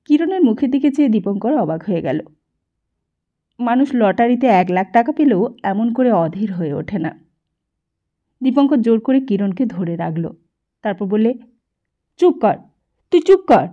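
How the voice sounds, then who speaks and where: A woman narrating a literary audiobook in an expressive, reflective storytelling manner. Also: a little high, normal speed, pauses often, narrow, tinny audio.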